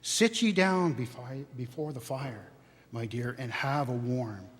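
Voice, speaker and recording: A woman's voice: extremely low pitch, animated, measured pace, voice pushed down. A retired man voicing a fond, fussing mother of a poor household in a storybook reading, heard in a long church echo. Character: anxious loving mother of a poor family.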